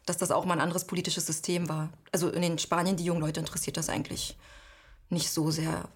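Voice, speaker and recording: A woman in her thirties from East Germany, a guest recalling her childhood and searching for words with frequent pauses. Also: low-pitched, level tone, breathy edge. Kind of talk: reflective personal interview.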